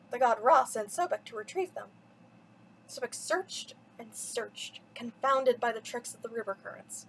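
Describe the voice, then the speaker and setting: A woman's voice: sits high, a bit bright, a little hiss or hum, poor audio. A woman reading a mythology story aloud for children as a storyteller, with dramatic, theatrical emphasis. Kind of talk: expressive bedtime story read-aloud.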